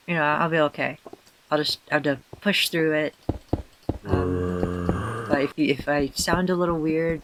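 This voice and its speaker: A man with a hoarse, tired voice, self-consciously rambling through casual gameplay commentary with many fillers and restarts. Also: fairly high pitch, background noise. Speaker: let's player.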